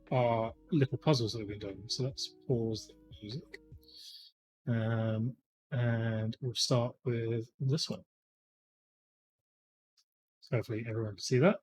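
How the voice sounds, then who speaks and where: A man in his thirties chatting casually as a stream host, rambling with lots of fillers, his voice slightly croaky. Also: medium pitch, darkish tone. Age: 30s. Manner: rambling, chatty, many fillers, greets viewers by name. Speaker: livestream host. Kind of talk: casual live-stream chat. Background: faint lo-fi music.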